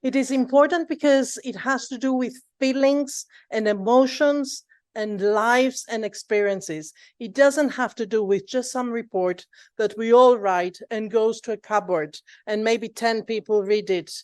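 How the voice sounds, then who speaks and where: Earnest, higher-pitched woman speaking publicly. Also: a little slow, darkish tone. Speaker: speaker at award ceremony. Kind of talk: impromptu earnest speech.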